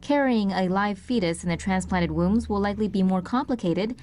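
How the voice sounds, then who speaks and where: A woman narrator reading a scripted news report in a measured, formal manner. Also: mid-range voice, a little mellow. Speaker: video narrator.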